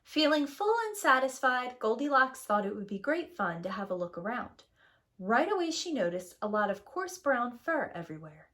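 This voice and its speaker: A woman reading a storybook aloud to young children as a preschool teacher, with expressive, emphatic storytelling. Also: a little high, moderate pace.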